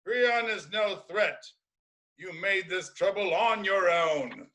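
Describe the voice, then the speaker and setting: A man's voice: high voice, takes it slowly, bright, forceful tone, a little hiss or hum, heavily acted. A man playing a bitter, defiant old blind prophet in a Greek tragedy reading, with declamatory, ominous delivery.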